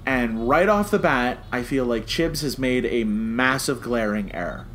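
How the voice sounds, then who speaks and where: A man's voice: medium pitch, lively intonation, measured pace, fairly bright tone, slight background noise. A man hosting a casual review podcast, talking emphatically and repeating phrases for stress. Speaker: podcast host.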